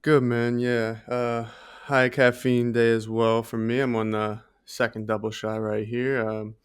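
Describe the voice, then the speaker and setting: A man's voice: medium pitch, flat delivery, a little slow, smooth, no room sound, studio quality. A man co-hosting a podcast in a casual, conversational style, padding his thoughts with filler phrases. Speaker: podcast co-host. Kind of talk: casual chat.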